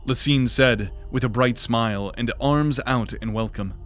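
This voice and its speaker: A man reading scripted fantasy narration in a measured, dramatic way for an audio drama. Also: medium pitch, soft background music.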